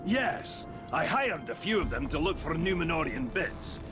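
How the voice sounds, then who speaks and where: Wildly animated dwarf tinkerer. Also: male voice, a little high, moderate pace. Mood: breezy, encouraging. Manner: folksy, wry, teasing. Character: gruff, jovial, eccentric dwarf tinkerer and adventurer. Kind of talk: animated video game character acting. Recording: roomy reverb under game music.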